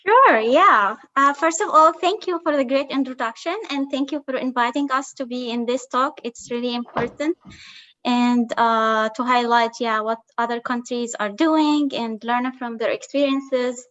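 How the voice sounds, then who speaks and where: A woman's voice: on the high side, sharp tone. A woman from Palestine, an assistant professor, introducing herself on a call with frequent fillers and hesitations. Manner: halting, many fillers, laughs easily. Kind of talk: self-introduction in conversation. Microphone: video call. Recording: dull lo-fi call audio.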